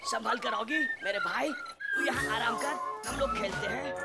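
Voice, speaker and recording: A woman's voice: on the high side, normal speed, vocal fry. A woman voicing a brash, brotherly male cartoon cat with a blunt, hectoring, matey way of talking. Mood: breezy bossing. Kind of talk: animated cartoon dub. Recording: loud music behind. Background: loud cartoon music.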